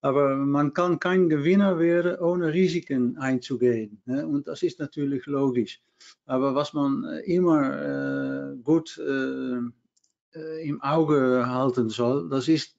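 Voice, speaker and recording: A man explaining trading risk in a webinar, slow and hesitant with many fillers, over a video call. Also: medium pitch, vocal fry, darkish tone. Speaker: trading presenter. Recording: dull lo-fi video call audio.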